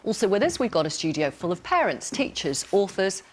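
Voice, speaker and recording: A woman presenting live current affairs in a studio, in a measured, clearly signposted delivery. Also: medium pitch, big pitch swings, slight background noise. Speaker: television presenter. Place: television studio.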